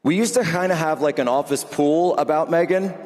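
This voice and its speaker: A man's voice: fairly high pitch, brisk, darkish tone. A man in his thirties interviewing on a conference stage, earnest and rambling, often correcting himself. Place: large conference hall stage with live audience. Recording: long hall reverb.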